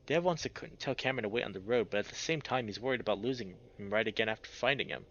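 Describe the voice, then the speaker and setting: A man reading a visual novel aloud as expressive narration, breaking off into casual, sweary, slangy reactions. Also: fairly low pitch, very fast, lo-fi sound, slight background noise. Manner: animated, sweary, slangy interjections.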